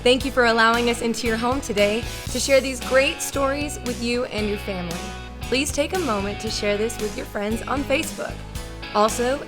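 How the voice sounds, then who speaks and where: A woman reading a polite, welcoming scripted introduction to a kids' show. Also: on the high side. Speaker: show announcer. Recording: music in background.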